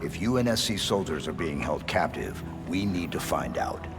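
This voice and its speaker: An armored super-soldier from a video game. The voice is deep and commanding. Every phrase is terse, clipped and deadpan, with loud music behind it. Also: male voice, normal speed, creaky, full character voice. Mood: stoic. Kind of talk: video game cutscene acting.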